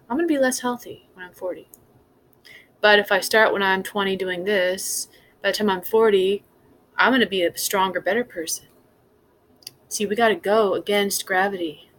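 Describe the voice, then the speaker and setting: A woman's voice: fairly low pitch, a bit bright, low-quality recording. A woman speaking off the cuff as a spiritual teacher, earnest and emphatic, building up her points in repeated phrases. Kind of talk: impromptu spiritual talk. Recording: clear background noise.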